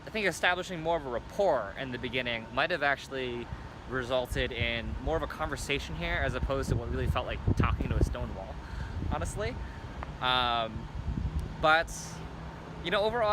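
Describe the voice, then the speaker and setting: A man talking informally to a vlog audience, thinking aloud in a halting way. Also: medium pitch. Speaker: vlogger. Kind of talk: casual spoken vlog, informal talk. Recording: noisy outdoor ambience with traffic.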